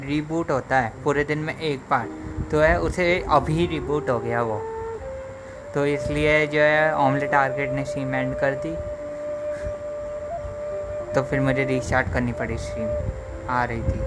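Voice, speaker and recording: Casting: male Indian game streamer, higher-pitched voice, extremely rapid casual chat over game music. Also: steady tone, poor audio. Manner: repetitive, informal, chatting with viewers. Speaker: game livestreamer.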